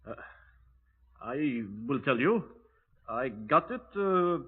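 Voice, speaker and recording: An actor playing a nervous, evasive Polish navy commander in a 1950s radio drama, stammering and defensive. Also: male voice, mid-range voice, animated, slow, slightly rough, big-room sound, phone-quality sound. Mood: wary. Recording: thin, band-limited 1950s radio recording.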